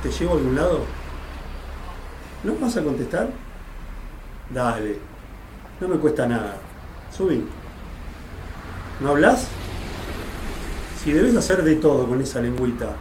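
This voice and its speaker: Actor playing a leering street creep, distant and echoey. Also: male voice, medium pitch, big pitch swings, unhurried, pauses often, darkish tone. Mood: leering, insistent. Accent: Argentine. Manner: leering, insistent, lewd. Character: sleazy older man catcalling from a car. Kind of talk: radio drama acting. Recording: roomy, distant, faint hiss and ambience.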